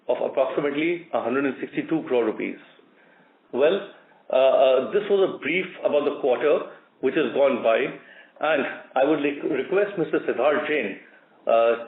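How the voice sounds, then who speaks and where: A man with an Indian accent giving a formal, measured company briefing, reading out figures as an executive. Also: medium pitch. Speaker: company executive presenting results. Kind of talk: formal scripted earnings briefing. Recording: narrow phone line, roomy echo.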